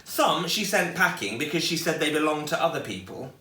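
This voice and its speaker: A man reading a children's story aloud in an expressive storytelling style. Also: a little high, brisk. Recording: echoey room, distant microphone.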